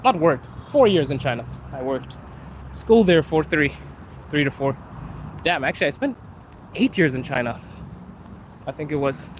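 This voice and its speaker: A man from Zimbabwe chatting casually on a livestream, answering viewers' questions in a relaxed way. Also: on the high side, normal speed, pauses often. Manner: relaxed, chatty, says bro and dude. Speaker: vlogger answering live chat. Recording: street noise with passing cars.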